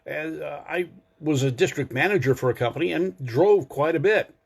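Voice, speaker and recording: A man's voice: on the high side, normal speed, creaky, husky. Older man, folksy show host. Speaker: host of a car enthusiast show. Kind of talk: casual informative monologue to a live audience online.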